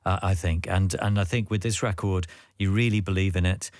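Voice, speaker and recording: A male radio host speaks in a studio, his voice sitting on the low side. He sounds enthusiastic and generous with praise as he puts his questions. The recording is dry and close. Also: fairly even intonation, a little fast, darkish tone, dry recording. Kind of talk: radio interview.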